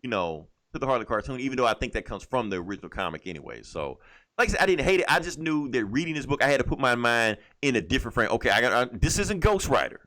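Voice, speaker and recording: A man's voice: medium pitch, very animated, quick pace, fairly bright tone, dry recording. A man hosting a comic book podcast, chatting casually through a review in blunt, slangy, profane language.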